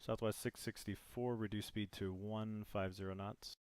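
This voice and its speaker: Deep-voiced man giving controller instructions. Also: steady tone, darkish tone, clear, resonant tone, no echo. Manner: measured, formal radio phraseology. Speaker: player as air traffic controller. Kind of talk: simulated air traffic control instructions.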